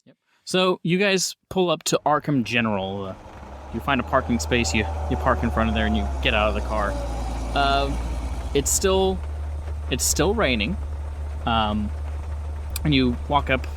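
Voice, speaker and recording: A man's voice: medium pitch, expressive, unhurried, long pauses, noisy background. A man running a tabletop game, narrating scenes in an improvised, casual style. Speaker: game master.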